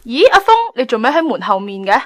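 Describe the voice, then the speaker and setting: A woman's voice: a little high, sing-song, fast talker, background noise. A woman with a Hong Kong Cantonese accent playing an earnest young angel in human form in a radio drama. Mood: friendly.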